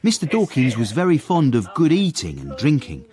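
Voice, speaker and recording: An actor playing a sly, knowing manservant who narrates a period radio drama with wry, mock-grand flourishes. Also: male voice, medium pitch, huge pitch swings, normal speed, mellow. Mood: amused storytelling.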